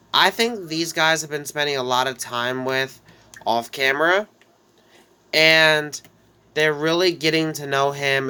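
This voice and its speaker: A man with a head cold talks slowly through his life-sim game story, his voice a little stuffy and nasal. His tone stays bright and forward despite the congestion. He rambles, circling back with "so" and "um" as he sets up each scene. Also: mid-range voice, smooth. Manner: rambling, with frequent "so" and "um" restarts. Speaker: gameplay narrator. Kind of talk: casual let's-play storytelling.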